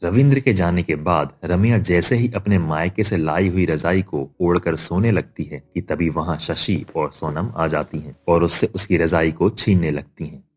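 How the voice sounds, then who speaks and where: Deep-voiced male story narrator. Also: normal speed, hardly any pauses, darkish tone, slightly rough. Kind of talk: scripted cartoon story narration.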